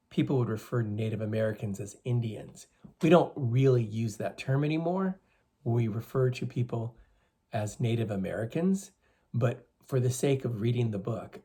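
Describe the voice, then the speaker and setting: A reader with a slightly husky voice, reading a picture book aloud to young children and explaining things as they go. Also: mid-range voice, dark tone. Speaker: storybook reader for schoolchildren.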